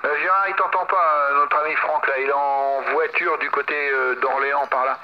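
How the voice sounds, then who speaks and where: A man's voice: fairly high pitch, steady tone, normal speed. A man chatting casually as an amateur radio operator, rambling and hesitant, often repeating his phrases. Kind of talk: casual ham radio chat. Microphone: voice received over amateur radio. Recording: dull, very noisy shortwave radio reception.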